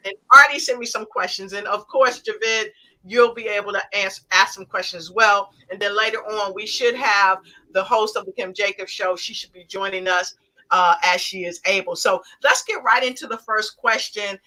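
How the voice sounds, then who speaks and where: A woman hosts an online talk show, upbeat and full of enthusiasm. Her voice is bright and forceful, coming through a video call. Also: medium pitch, moderate pace, rough recording. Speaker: talk show host and author.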